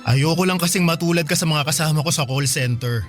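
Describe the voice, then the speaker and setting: A man's voice: on the high side, brisk, over music, close to an everyday voice. A man acting a thrifty, careful young boyfriend, earnest and caring, in a Filipino radio drama with a Filipino accent. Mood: worried.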